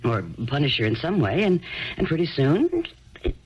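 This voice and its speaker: A weary, matter-of-fact mother in a commune, voiced with a lively, swinging pitch in a 1970s radio play. She is offhand and guarded. The broadcast sounds dull and lo-fi, with faint hiss. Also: normal speed. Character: weary practical single mother living in a commune. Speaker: actress in a radio drama. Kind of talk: 1970s radio drama acting.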